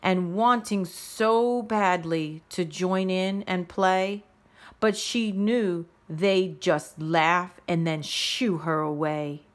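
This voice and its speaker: A woman reading a rhyming children's story aloud in a measured, expressive storytelling manner. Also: fairly low pitch. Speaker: storybook reader. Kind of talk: children's read-aloud narration in rhyme.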